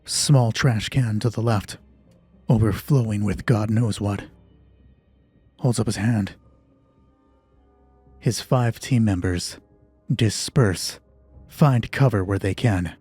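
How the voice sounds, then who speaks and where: Male narrator, dark husky voice, deliberate phrasing, dramatic horror storytelling, close dry sound over faint music. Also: lowish voice, no echo. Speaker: host and narrator of a solo roleplay channel.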